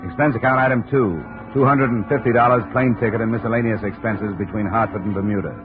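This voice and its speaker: Tinny old radio actor as a dry American investigator. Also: male voice, on the low side, fairly even intonation, quick pace, natural voice. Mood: dry, businesslike. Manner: clipped, dry, matter-of-fact. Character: hard-boiled male freelance insurance investigator, dry and businesslike. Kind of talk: 1950s radio detective acting and narration. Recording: tinny, narrow-band vintage radio recording.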